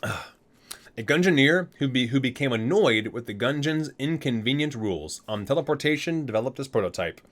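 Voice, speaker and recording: A man reads aloud in an ASMR style at a medium pitch that swings widely up and down. He is halting at times, repeating and correcting himself, and drops casual asides between the written lines. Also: lively intonation, a bit bright. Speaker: video host reading aloud from a game book.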